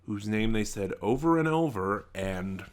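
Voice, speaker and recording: A man giving a casual, unscripted review to viewers, halting and rambling as he often trails off mid-thought. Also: on the low side, expressive. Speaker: YouTube reviewer.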